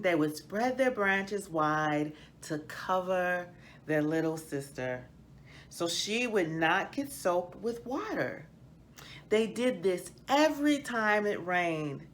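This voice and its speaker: A woman reading a children's picture book aloud as a storyteller, in a deliberate, expressive manner. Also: lowish voice, a little hiss or hum. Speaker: read-aloud host, storyteller.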